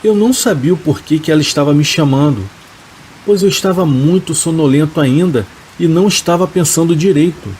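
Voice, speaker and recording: A man calmly reading first-person horror stories in a steady, scripted narration. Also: fairly high pitch, a little fast. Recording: faint rain and hiss behind the voice. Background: rain sounds.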